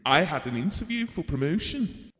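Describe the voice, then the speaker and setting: An actor playing a devoted, gentle husband and father, telling his memories earnestly and tenderly in an audio drama. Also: on the high side, sing-song, normal speed. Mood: wistful. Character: Bill, devoted, gentle husband and father recalling a family tragedy. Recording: reverberant hall-like sound.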